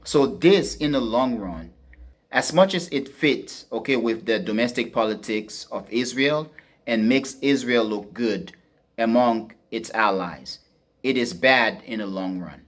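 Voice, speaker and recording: A man's voice: mid-range voice, measured pace. A man delivering a semi-improvised geopolitical commentary as a podcast host, emphatic and repeating phrases for stress.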